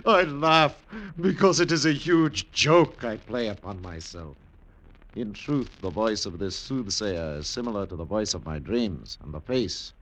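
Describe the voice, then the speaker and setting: An actor playing a proud, dread-gripped Roman ruler in a theatrical, imperious radio drama style. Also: male voice, fairly low pitch, unhurried, a bit bright, rough recording. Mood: brooding. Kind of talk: classic radio drama acting.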